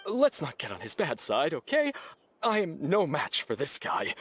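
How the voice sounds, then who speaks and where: An actor voicing a skeptical, nervous desert villager in a video game. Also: male voice, a little high, huge pitch swings, moderate pace, fairly bright tone, slight background noise.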